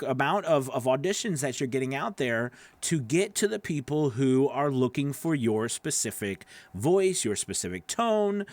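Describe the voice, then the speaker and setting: A man's voice: a little high, no echo. A man in his thirties or forties coaching voice actors in an informal, explanatory way, often checking in with the listener, close on a studio microphone. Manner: conversational, explanatory, frequent "right?" and "okay" check-ins. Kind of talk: informal tutorial talk to camera. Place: small home studio with acoustic panels, indoors. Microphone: close broadcast microphone on boom arm.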